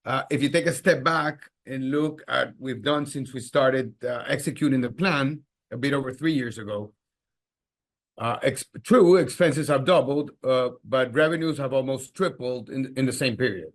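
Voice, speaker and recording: Halting male executive explaining results. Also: medium pitch. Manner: halting, repeats words. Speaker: company executive. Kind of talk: earnings call answers, explanatory.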